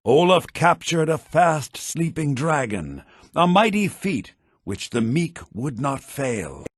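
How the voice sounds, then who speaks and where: An actor playing a scholarly, theatrical headmaster of a bards' college in a fantasy video game, speaking in a formal, declamatory manner. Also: male voice, mid-range voice, breathy edge, no room sound, studio quality, full character voice. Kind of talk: fantasy video game character dialogue, with verse recitation.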